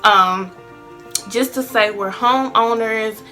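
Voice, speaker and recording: A woman's voice: a little high, slow, bright, forceful tone, soft background music. A woman in her late twenties sharing personal advice in a chatty, earnest vlog, with many ums. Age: 20s. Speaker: vlogger.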